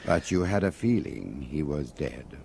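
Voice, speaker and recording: A composed, inquisitive confidant in a radio drama. His voice is extremely deep and creaky, with dry, analytical phrasing that still rises and falls freely. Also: animated, a little slow, darkish tone, a little hiss or hum, exaggerated voice. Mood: dry, curious.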